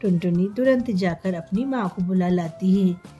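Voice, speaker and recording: A woman's voice: fairly low pitch, unhurried, dark tone, husky, faint music behind. A woman narrating a scripted children's story as a storyteller.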